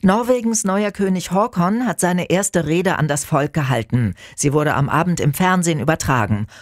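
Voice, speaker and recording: A man's voice: fairly high pitch, no room sound. Formal male radio newsreader, dry studio. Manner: measured, formal delivery. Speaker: news anchor. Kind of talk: scripted news reading. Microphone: studio microphone.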